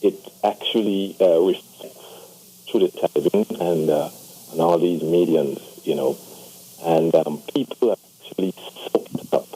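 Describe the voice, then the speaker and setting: A man from Guyana calling in to a radio show, talking haltingly with many fillers. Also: low-pitched, fairly even intonation, dark tone, slightly rough. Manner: hesitant, many fillers and restarts. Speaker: caller. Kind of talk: phone-in call. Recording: phone line.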